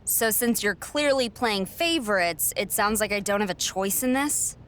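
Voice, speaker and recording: A woman's voice: mid-range voice, expressive, moderate pace, a bit bright, slight background noise, natural voice. An actress playing a young female champion wrestler in a video game, blunt, sarcastic and defiant. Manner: blunt, sardonic. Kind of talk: video game cutscene acting.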